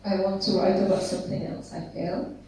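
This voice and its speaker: A woman from Syria, an illustrator and author, talking slowly and haltingly about her work on a conference panel. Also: mid-range voice, fairly even intonation, dark tone, a little hiss or hum. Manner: slow, halting, repeats words. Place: conference hall. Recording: echoey hall, distant mic.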